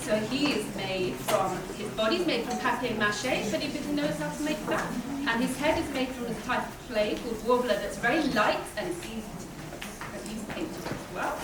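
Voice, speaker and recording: A woman's voice: a little high, animated, a little fast. A woman puppeteer giving a live demonstration to a young audience, explaining simply and putting questions to them. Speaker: puppeteer presenter. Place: large echoey indoor museum space. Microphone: distant camera or room microphone. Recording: distant mic, very echoey hall, audience noise. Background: audience chatter.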